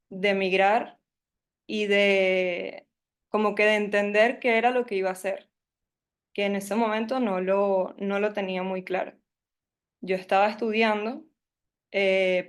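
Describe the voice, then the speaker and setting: A young woman from Caracas with a Venezuelan Spanish accent, telling her life story at a workshop in a hesitant, nervous way, full of fillers. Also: mid-range voice. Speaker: workshop speaker. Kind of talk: personal storytelling talk.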